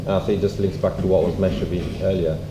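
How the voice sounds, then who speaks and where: Man, deep voice, measured, courteous, muffled reverberant room. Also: little pitch movement. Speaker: event speaker. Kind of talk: reflective comments at a community event. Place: echoey room at a public event. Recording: dull, echoey, noisy room recording.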